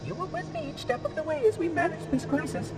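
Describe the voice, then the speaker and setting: High, prim, officious robot monitor. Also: male voice, huge pitch swings, fast talker, creaky, distant mic, music in the background, heavily acted. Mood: matter-of-fact, insistent. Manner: formal, fussy, pompous diction. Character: small floating ancient robot monitor, prim, cheerful, officious, menacing. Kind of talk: sci-fi video game acting.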